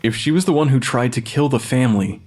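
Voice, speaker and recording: A man's voice: medium pitch, expressive, fast talker, a little mellow, natural voice. Forensic pathologist, male actor, audio drama: middle register, clever and secretive, precise but socially awkward. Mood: urgent. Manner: hesitant under pressure, precise when explaining. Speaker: actor in an audio fiction podcast. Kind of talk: full-cast audio drama dialogue.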